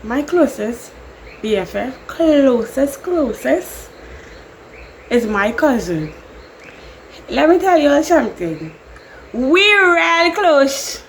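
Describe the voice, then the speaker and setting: A woman's voice: sits high, sing-song, slow pace, long pauses, a bit bright, a little hiss or hum. Chatty Trinidadian woman vlogging. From Trinidad and Tobago. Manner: chatty, rambling, laughs often. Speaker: YouTuber. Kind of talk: casual Q&A vlog.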